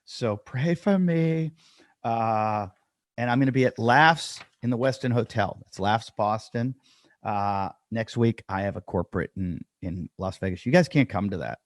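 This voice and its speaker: A man's voice: fairly low pitch, measured pace, no echo, clean studio sound. A man hosting a podcast, talking casually and self-deprecatingly with lots of fillers as he introduces the show and his guest. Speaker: podcast host. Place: dry room at home.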